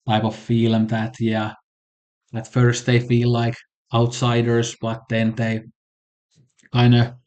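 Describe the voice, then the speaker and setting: A man from Finland with a Finnish accent, casually reviewing films in a conversational way. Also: medium pitch. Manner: relaxed, conversational, with fillers like "kinda" and "like". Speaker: film reviewer.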